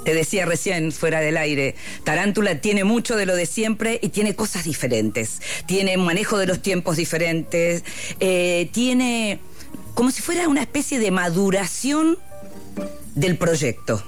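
An interviewer leads a conversation with a writer. The delivery is measured and deliberate, and it lingers on key phrases. The voice has an ordinary pitch. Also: animated, soft background music. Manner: measured, thoughtful questions with drawn-out phrasing.

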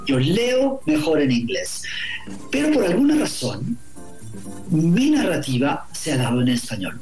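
A man from Guatemala, a novelist, answering interview questions in a thoughtful, halting way, repeating words and tagging phrases with "no?". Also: on the high side, a little mellow, roomy sound, low-quality recording. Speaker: novelist guest.

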